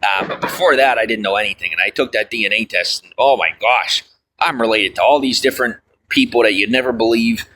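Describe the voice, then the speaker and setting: A man's voice: on the low side, fairly quick, bright, forceful tone, rough recording. A man livestreaming, chatting casually with viewers and reading their comments, rambling with lots of fillers and folksy asides. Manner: folksy, often says "I'll tell you what".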